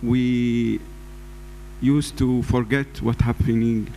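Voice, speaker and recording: A man from Syria speaking as a panelist, slow and deliberate in his English. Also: mid-range voice, little pitch movement, dark tone, slight background noise, rough recording. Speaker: panelist, researcher.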